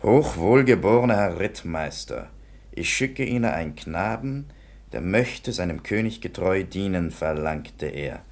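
Deep, gravelly, measured commissioner. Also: male voice, animated. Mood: slow reading aloud. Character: matter-of-fact male police commissioner presenting evidence. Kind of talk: German radio play acting.

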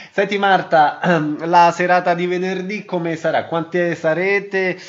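Slow-talking radio host, slightly high voice. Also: male voice, steady tone, highs cut off. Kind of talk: radio interview.

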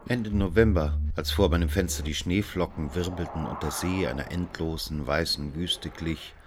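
A man's voice: low-pitched, moderate pace, background noise, natural voice. An actor playing an investigating magistrate who questions and narrates in a radio play, pressing, condescending and literary in his wording. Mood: sober narration.

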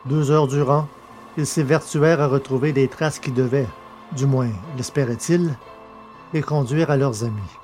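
A man's voice: medium pitch, fairly even intonation, normal speed, darkish tone. A man reading scripted narration as the narrator and presenter of an audio drama podcast. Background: music.

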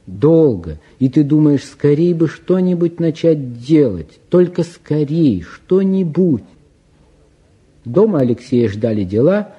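An actor as a reflective storyteller narrating a 1950s Soviet radio play, speaking to listeners in a measured, confiding way. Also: male voice, fairly high pitch, warm tone, husky, exaggerated voice. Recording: dull, muffled 1950s radio recording.

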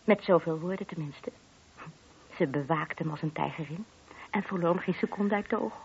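A woman's voice: lowish voice, normal speed, creaky, darkish tone, husky, exaggerated voice. An actress playing a married woman who is a discreet but gossipy witness, hesitant, confiding and a little defensive in a radio play. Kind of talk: Dutch radio drama dialogue. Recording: muffled lo-fi old radio recording.

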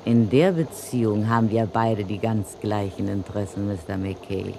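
A woman's voice: extremely low pitch, fairly even intonation, normal speed, warm tone, a little hiss or hum. An actress playing a brazen, street-wise woman blackmailer from the underworld in a German radio crime play, with cheeky, slangy, taunting delivery. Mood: smug, threatening.

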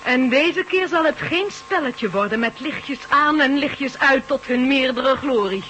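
A woman playing a resolute woman in a radio play, speaking quietly and firmly. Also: high-pitched, expressive, unhurried, a little hiss or hum, exaggerated voice, poor audio. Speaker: Val, a woman staying behind. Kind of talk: 1980s radio play acting.

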